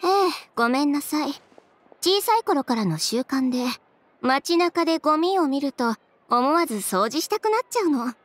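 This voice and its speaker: A proud, sharp-tongued young woman from a video game. Her high voice swings expressively, yet she stays cool, haughty and unflappable. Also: expressive. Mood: mock politeness. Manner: cool, haughty, deliberate sarcasm. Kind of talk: Japanese game voice acting.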